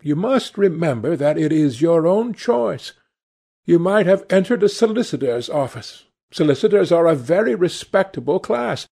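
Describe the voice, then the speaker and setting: A male narrator plays a self-dramatising middle-aged mother from a storybook. The voice is lifted and husky, with a genteel, theatrical air and a plaintive, put-upon temper. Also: fairly high pitch, expressive, a little slow, a little mellow, voice pushed up, full character voice. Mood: stiff, complaining.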